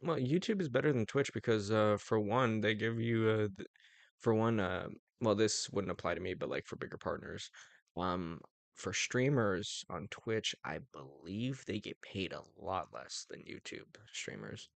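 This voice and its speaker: A young man rambles through a casual livestream chat in a low, gravelly voice. He chuckles often and keeps restarting his sentences around fillers, and the sound is dry and close. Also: animated, unhurried, dry recording. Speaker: streamer.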